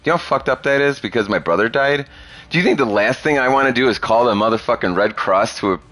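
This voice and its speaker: A man's voice: medium pitch, expressive, fast talker, a bit bright, faint music behind. A man in his thirties talking bluntly and profanely in a rambling casual podcast chat. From Chicago area. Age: 30s. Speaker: podcast host.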